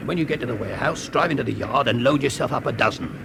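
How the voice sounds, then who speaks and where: Gravelly, sly British crook. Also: male voice, mid-range voice, fast talker. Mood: cajoling. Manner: cajoling, mocking, self-assured. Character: sly, smooth-talking male crook, coolly menacing schemer. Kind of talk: classic British radio drama acting. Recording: noisy old radio recording.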